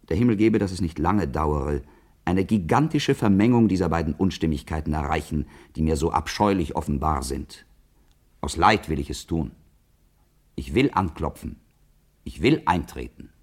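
A man's voice: low voice, expressive, normal speed. An actor playing a brooding, earnest schoolboy at the end of his school days in a literary radio drama, speaking formally. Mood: resolute. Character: brooding, earnest schoolboy leaving school, wild-hearted, resolute.

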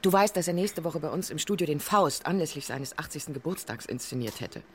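An actress playing a harried, pushy radio editor with a familiar, bossy manner in naturalistic radio drama dialogue. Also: female voice, low voice, lively intonation, fairly quick. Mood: businesslike, pushy. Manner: familiar, bossy, interrupts themself.

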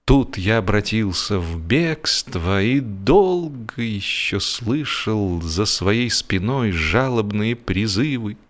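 A man's voice: lowish voice, lively intonation, slow pace, hardly any pauses. A man narrating humorous literary prose from a script, as an audiobook narrator.

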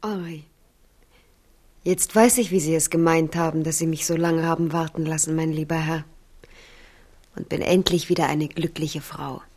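Eine Schauspielerin spielt im Hörspiel eine stolze, schwermütige junge Königin, ernst und innig. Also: female voice, deep voice, fairly even intonation, moderate pace, pauses often, a little mellow. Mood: tender, confessional. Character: proud, melancholy young queen, tender toward her husband. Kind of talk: radio drama acting.

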